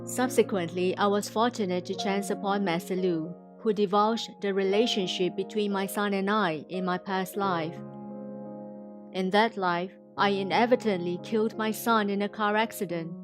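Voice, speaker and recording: A woman from Indonesia reads a scripted inspirational testimony in a formal, measured way. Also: mid-range voice, steady tone, music in the background. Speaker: testimony speaker.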